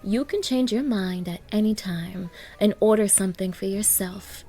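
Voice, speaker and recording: A woman's voice: medium pitch, normal speed, mellow, soft background music, lightly acted. A woman playing a haughty, demanding young gold digger on a date, scolding and condescending in an audio roleplay. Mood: scornful. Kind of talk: ASMR-style dramatic roleplay.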